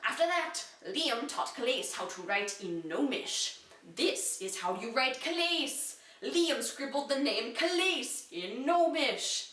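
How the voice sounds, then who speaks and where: A woman giving a deliberate, dramatic reading of an amateur children's fantasy story, like a storyteller. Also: sits high, expressive, a bit bright, recorded from a distance. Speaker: reader. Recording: echoey room, away from the mic.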